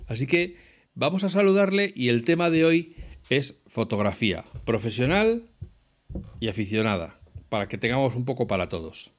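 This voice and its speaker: A man's voice: mid-range voice, very animated, normal speed. A middle-aged man hosting a podcast interview, chatty and jokey, nudging his guest along. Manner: chatty, jokey, encouraging backchannels. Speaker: podcast host.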